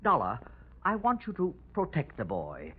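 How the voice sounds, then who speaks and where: An actor playing a flustered, fussy, formal insurance executive in an old-time radio drama. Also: male voice, a little high, wildly swooping pitch, moderate pace, breathy edge, exaggerated voice. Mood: fretful. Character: flustered, fretful, proper male insurance executive. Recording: dull vintage radio recording.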